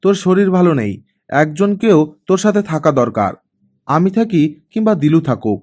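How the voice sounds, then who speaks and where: A man's voice: sits high, lively intonation, normal speed, darkish tone, voice pushed up. A man voicing a worried, reproachful middle-aged mother with a cold, probing, scolding manner in an audiobook story. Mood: concerned, probing.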